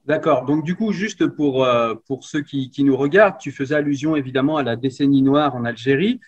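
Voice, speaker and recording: A man's voice: mid-range voice, brisk, muffled recording. A man hosting an interview, asking friendly questions with some hesitation. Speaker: interviewer, channel host.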